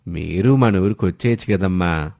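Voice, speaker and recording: A man's voice: sits low, normal speed, gravelly, a little mellow, voice pushed down. A man voicing a scheming, meddling troublemaker with an insinuating, falsely familiar manner in a family story.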